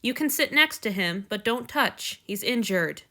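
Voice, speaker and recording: A woman's voice: a little high, lively intonation, measured pace, bright, forceful tone, full character voice. A woman voicing a stern, fierce noblewoman. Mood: firm. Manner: firm, scolding, snarling when angry.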